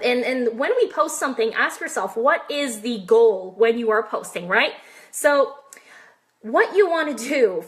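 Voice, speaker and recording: French Canadian woman, high and bright, chatty and direct network marketing coach on a live stream. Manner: chatty and direct, peppers points with "right?" and questions to viewers.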